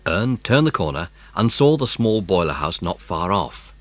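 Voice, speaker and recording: A man's voice: low-pitched, sing-song, normal speed, no echo. A man narrating a children's story in a dramatised audiobook style.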